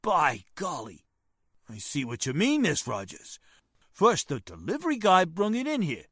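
A woman playing a folksy, excitable male guard in a 1940s-style radio mystery, with uneducated speech. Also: deep voice, a little slow, husky, dry recording, clean studio sound, heavily acted. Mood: amazed.

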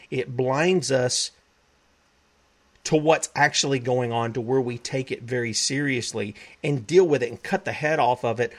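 A man hosting a web broadcast, preaching emphatically, repeating himself and quoting scripture. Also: medium pitch. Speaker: talk show host.

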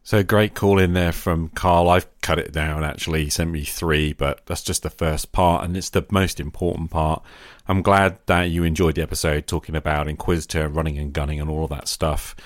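A man's voice: rumbling low, fairly even intonation, moderate pace, no room sound, studio quality. A man speaking as a podcast host about tabletop roleplaying, addressing the listener directly and encouragingly.

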